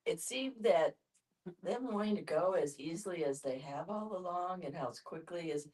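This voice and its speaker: A woman's voice: fairly low pitch, lively intonation, moderate pace, darkish tone. A woman participating in a casual group discussion, offering her own take in a conversational way. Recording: group in room, distant mic.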